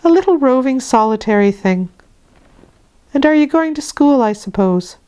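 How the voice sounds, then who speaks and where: A woman voicing a brisk young nursemaid from a storybook, cross but kindly, with a chiding, lilting manner. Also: high-pitched, animated, dark tone, smooth, voice pushed up. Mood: teasing, fond.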